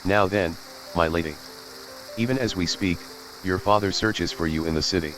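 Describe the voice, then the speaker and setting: A man's voice: low-pitched, moderate pace, lightly acted. An actor playing a dutiful, caring male retainer in a video game, speaking with formal deference. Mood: courteous, reassuring. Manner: deferential, formal servant's address. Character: dutiful, concerned male retainer of noble house. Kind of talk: scripted video game dialogue. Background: game music.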